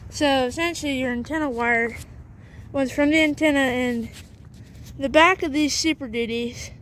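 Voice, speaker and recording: A woman giving a casual, halting how-to walkthrough in and around a pickup truck, leaning on fillers and repeating herself as she explains. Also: high-pitched, fairly bright tone, slight background noise, low-quality recording. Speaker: video host showing a vehicle setup.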